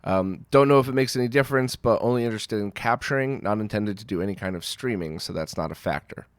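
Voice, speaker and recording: A man's voice: lowish voice, fast talker, no room sound. A man with a cold and a nasal voice, hosting a casual retro gaming Q&A and rambling through answers with fillers. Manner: rambling, self-deprecating, with frequent fillers and restarts. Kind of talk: casual Q&A talk.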